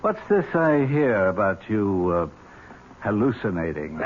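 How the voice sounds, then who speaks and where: An actor playing a wry, unflappable, teasing uncle in a 1970s radio drama, with a hesitant, mock-innocent manner. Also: male voice, fairly low pitch, very animated, unhurried. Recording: tinny, noisy old radio recording.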